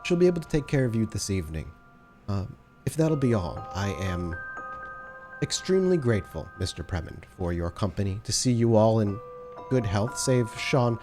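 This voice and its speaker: A man's voice: fairly low pitch, big pitch swings, normal speed, music in the background. A man voicing an aloof female vampire sorcerer in a tabletop game, speaking formally and without humour. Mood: formal, gracious. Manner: formal, terse, clipped courtesy.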